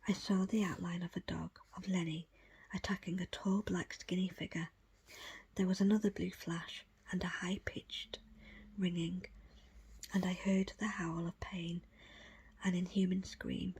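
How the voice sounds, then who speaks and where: Breathy whispering woman reading a book. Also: fairly low pitch, little pitch movement, measured pace, darkish tone. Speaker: audiobook reader.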